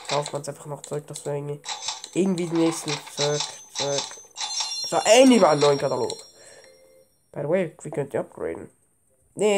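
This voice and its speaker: A woman's voice: low voice, a little mellow, a little hiss or hum. A woman with a cold giving offhand, casual commentary while playing a game at home. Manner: offhand, mixes English game terms. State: has a cold. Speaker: let's play gamer.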